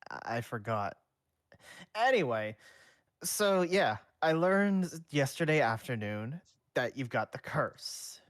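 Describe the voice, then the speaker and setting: A man's voice: mid-range voice, very animated, slow, fairly bright tone, no echo, clean studio sound, voice pushed up. A man voicing a weary, earnest teenage boy who fights ghosts while reading a story aloud. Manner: reads dialogue in his own voice.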